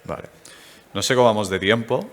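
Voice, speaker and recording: A man conducts a stage interview in a low-pitched voice, pausing often with fillers as he works toward his questions. The sound carries a long hall reverb. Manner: hesitant, with many fillers. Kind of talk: stage interview at a tech event. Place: event stage.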